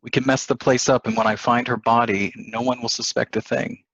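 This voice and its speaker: A man's voice: medium pitch, level tone, a little fast, fairly bright tone, no echo. A man playing a coldly calculating newlywed husband in a table reading of a stage play, in dull audio.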